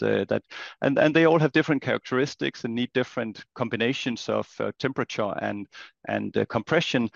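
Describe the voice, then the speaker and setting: A man with a low, gravelly voice explains his company as an interview guest, often repeating a word as he finds the next. The recording is dry and dull. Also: dry recording. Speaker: company chief executive, guest.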